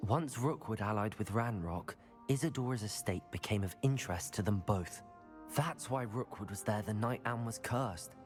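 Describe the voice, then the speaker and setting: An actor playing an earnest, steady young wizard student, speaking plainly in a video game. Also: male voice, on the low side, moderate pace, natural voice. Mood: grave, earnest. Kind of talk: dramatic dialogue, video game acting. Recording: reverberant hall, music behind.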